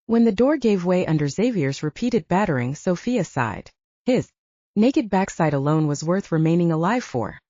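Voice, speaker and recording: A woman's voice: fairly low pitch, very animated, moderate pace, mellow, no room sound. A woman narrating fiction as a scripted, dramatic audiobook reading. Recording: slightly dull, dry close recording.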